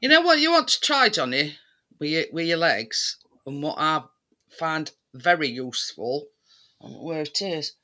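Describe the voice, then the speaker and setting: A man with a very bright, sharp voice pitched on the high side. He chats casually and rambles, breaking off and circling back as he hunts for the right word. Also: very animated. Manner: rambling, halting, searching for words. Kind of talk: casual chat.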